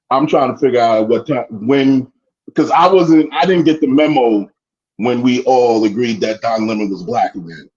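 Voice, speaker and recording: A man's voice: mid-range voice, unhurried, darkish tone. A man calling in, fired up and rambling, repeating himself as he makes his points. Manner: fired up, rambling, repeats "you know what I mean". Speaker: guest caller. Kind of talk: heated casual commentary. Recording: muffled lo-fi call audio.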